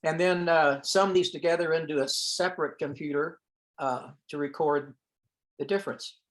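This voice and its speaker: A man with a somewhat high-pitched voice presents technical material on an online teleconference. His delivery is informal and explanatory, like someone talking colleagues through slides. At times he thinks aloud as he goes. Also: fairly even intonation, normal speed. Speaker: presenter at an amateur radio science teleconference.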